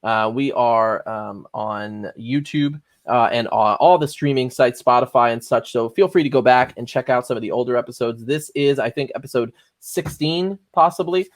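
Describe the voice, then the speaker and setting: A man hosting a casual podcast chat, relaxed and full of fillers as he reads out facts. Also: medium pitch, moderate pace, few pauses. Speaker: podcast host.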